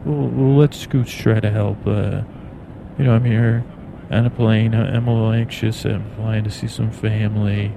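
Clear cabin noise surrounds an American man with a low, gravelly voice. He rambles soothingly as a bedtime podcast host, halting and meandering as he goes. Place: aboard an airplane in flight. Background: steady plane noise.

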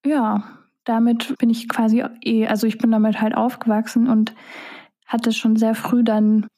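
A young German-speaking woman in her twenties talks through her thoughts in a relaxed, mellow voice. Her pitch is on the higher side but stays fairly flat, and she pauses often with fillers as she muses. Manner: relaxed, musing, many fillers. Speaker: singer-songwriter guest. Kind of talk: casual interview talk.

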